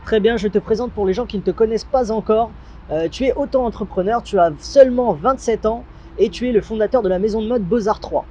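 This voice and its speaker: A man's voice: high-pitched, fairly quick, warm tone, muffled recording, slight background noise. A man working as an interviewer, putting questions to his guest in a conversational style.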